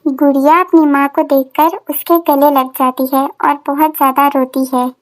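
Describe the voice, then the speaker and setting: High-pitched woman storyteller. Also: steady tone, normal speed, dry recording, faint music behind. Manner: simple, repetitive storytelling for children. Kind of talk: scripted children's story narration.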